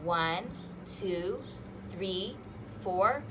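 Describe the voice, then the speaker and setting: A woman hosting a children's storytime, reading rhymes and picture books aloud in an animated, sing-song, exaggerated way. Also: fairly low pitch, very slow, background noise. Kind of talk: interactive children's read-aloud with rhymes and fingerplay.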